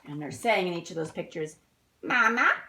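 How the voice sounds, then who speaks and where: A woman reading a picture book aloud to young children like a teacher, expressively, pausing to explain things. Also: sits low, creaky. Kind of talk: read-aloud storytelling with asides to children. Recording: roomy indoor echo.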